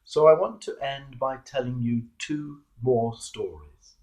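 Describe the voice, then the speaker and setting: A man reading a devotional sermon as a clergyman, measured and earnest, with emphatic pauses. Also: medium pitch, a little mellow. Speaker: Anglican clergyman, preacher. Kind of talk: devotional sermon reflection, read aloud.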